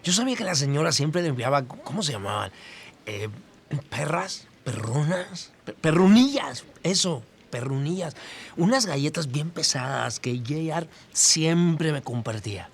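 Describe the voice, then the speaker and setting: An actor playing a kindly, sentimental Mexican campus worker giving testimony in an audio drama, chatty and hesitant. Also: male voice, fairly high pitch, expressive, a little slow, vocal fry. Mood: fond recollection. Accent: Latin American Spanish, Mexican. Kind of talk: documentary-style testimony, scripted audio drama. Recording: voice over noticeable ambient noise.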